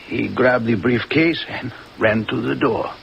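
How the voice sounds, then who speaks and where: Halting Frenchman, muffled old radio. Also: mid-range voice, creaky. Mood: pained. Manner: halting, formal, courteous. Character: injured Frenchman, polite, shaken office man. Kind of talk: old-time radio detective acting. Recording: muffled hissy old radio, rain over it.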